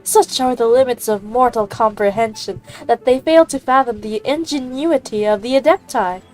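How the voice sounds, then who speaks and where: A woman's voice: fairly high pitch, normal speed, soft background music, close to an everyday voice, poor audio. A woman voicing a haughty, ancient female bird immortal from a fantasy game, with pompous, formal, stately phrasing.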